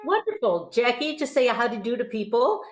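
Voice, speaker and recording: Lady voice teacher, encouraging, explains stuff, kinda high voice, roomy echo. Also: very animated, moderate pace, slight background noise. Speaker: host and voice teacher. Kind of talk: conversational vocal coaching. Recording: roomy, echoing sound.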